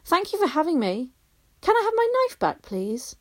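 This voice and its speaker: A bright, high-pitched little boy, earnest and insistent, swooping up whenever he explains himself, as a woman puts him on. His voice rides well above an adult's, with a lively, bouncing lilt. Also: normal speed. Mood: polite. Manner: earnest, protesting. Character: eager earnest young boy.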